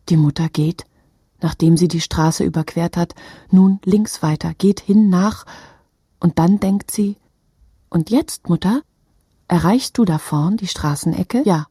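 A woman narrating a literary audio drama expressively, often repeating phrases and turning breathy at moments. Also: on the low side, mellow, no echo, clean studio sound.

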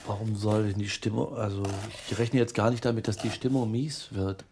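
Man acting gadget-proud host, creaky voice, colloquial explaining, improvised radio comedy, noisy kitchen background. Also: on the low side, steady tone, fairly quick, lightly acted. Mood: subdued. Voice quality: creaky, gravelly. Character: gadget-proud, easily impressed man showing off his kitchen. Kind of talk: improvised radio comedy drama, casual dialogue. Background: clicks, kitchen sounds.

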